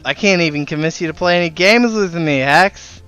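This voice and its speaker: A man's voice: a little high, normal speed, sharp tone, smooth. A man chatting casually as he streams a game, sweary and given to exclamations. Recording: slightly dull, lo-fi stream audio. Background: music.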